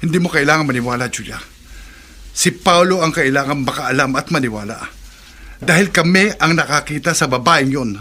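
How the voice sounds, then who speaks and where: Urgent Filipino man, bright forceful voice. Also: a little high, a little fast. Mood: insistent, grim. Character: earnest, alarmed young man warning of a killer. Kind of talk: horror radio drama acting.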